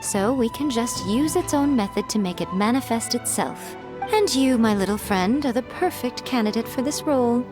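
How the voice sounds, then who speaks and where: A woman playing a sly, composed schemer in a video game, teasing and knowing in an unhurried way. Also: a little high, animated, music in the background, lightly acted. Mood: confident, scheming. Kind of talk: scripted game voice acting.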